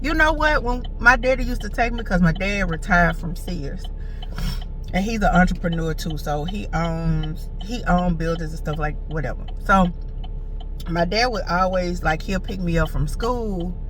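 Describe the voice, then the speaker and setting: A woman's voice: fairly low pitch, moderate pace, fairly bright tone, far from the mic, faint music behind. Sassy woman in her forties. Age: 40s. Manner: chatty, sassy, talks straight to viewers. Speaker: vlogger. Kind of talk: casual storytelling chat. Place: in a car.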